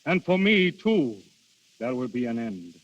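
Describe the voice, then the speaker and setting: An actor playing Death in human guise as a proud, sorrowful immortal lover, in grand, eloquent 1930s radio style. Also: male voice, medium pitch, sing-song, a little slow. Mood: melancholy, grave. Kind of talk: 1930s radio drama acting. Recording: muffled old 1930s radio recording.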